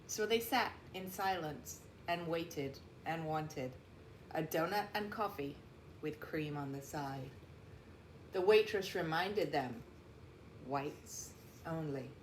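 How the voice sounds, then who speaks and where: Woman, lowish voice, slow emphatic storybook read-aloud, teacherly asides, echoey room, faint noise. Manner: deliberate, emphatic phrasing for children. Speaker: teacher reading a picture book aloud. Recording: roomy echo, faint background noise.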